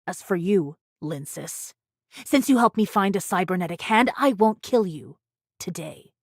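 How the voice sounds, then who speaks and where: A woman's voice: on the low side, lively intonation, a little slow, no echo, studio quality. A woman voicing a vengeful, taunting female pirate in an anime video game, with a menacing, theatrical way of talking. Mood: mocking, threatening. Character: vengeful, taunting female pirate first mate, one-eyed ex-convict.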